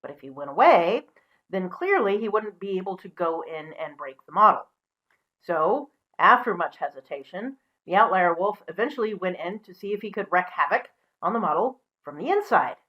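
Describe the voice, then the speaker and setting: A woman presenting as a storyteller, mixing expressive storybook reading with casual asides. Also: fairly low pitch, moderate pace, highs cut off.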